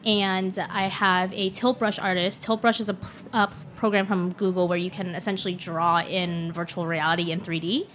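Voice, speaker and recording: A woman's voice: fairly low pitch, flat delivery, moderate pace, a bit bright, slight background noise. A young adult woman chef, a guest in a casual interview, talking earnestly and reflectively, with plenty of "like" and "um". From United States (born in Shanghai). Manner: earnest, reflective, frequent fillers like and um.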